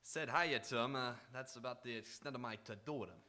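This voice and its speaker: A man's voice: medium pitch, fairly quick, pushed and bright, lightly acted. A man playing a folksy, talkative, evasive hotel clerk in a radio drama, rambling through his replies. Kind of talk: old-time radio drama acting.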